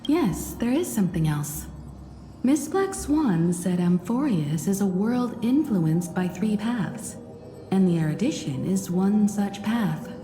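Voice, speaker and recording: An actress playing a thoughtful, polite young explorer who asks inquisitive questions. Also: female voice, mid-range voice, expressive, slow, warm tone. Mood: curious. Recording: roomy reverb with game music.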